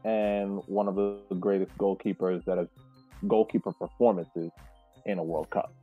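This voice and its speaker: Phone-like, lower-voiced man on a podcast. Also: fairly even intonation, husky, soft background music. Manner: halting, measured, repeats words. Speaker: podcast co-host. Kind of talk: casual sports podcast discussion. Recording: thin phone-like call audio.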